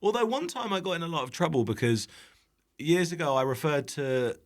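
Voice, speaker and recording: A man's voice: on the high side, animated, a little fast. A British man speaking as a podcast guest in casual comedic chat, joking and sweary in an irreverent way. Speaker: podcast guest, online cook.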